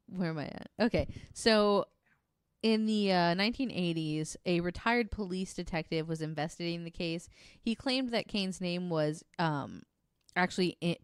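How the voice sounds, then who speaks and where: A woman's voice: on the low side, smooth, no echo, clean studio sound. A woman co-hosting a podcast, telling true-crime stories in a casual, amused, informal and sweary way. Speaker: podcast co-host.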